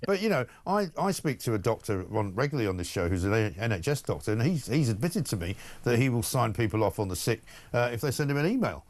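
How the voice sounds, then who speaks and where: A man's voice: medium pitch, big pitch swings, fast, hardly any pauses. A British man hosting a talk show, interviewing in a chatty, opinionated way with frequent tag questions. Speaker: radio and TV talk show host. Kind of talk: conversational broadcast interviewing.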